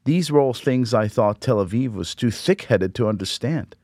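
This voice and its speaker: A middle-aged man, Hebrew speaker, reading a personal essay aloud in a measured, reflective, wry way. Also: mid-range voice, lively intonation, darkish tone, no room sound, studio quality. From Israel.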